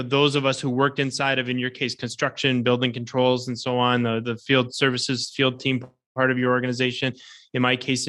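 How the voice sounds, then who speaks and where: Host, man from Southeastern Wisconsin, bright tone, reflective, long winding questions. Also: mid-range voice. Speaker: podcast host. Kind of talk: podcast interview questions.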